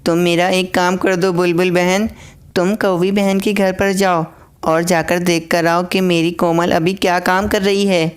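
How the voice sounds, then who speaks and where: A woman voicing a gentle, protective and anxious mother sparrow in a cartoon story. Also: lowish voice, flat delivery, measured pace, clean tone, natural voice. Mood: worried, pleading.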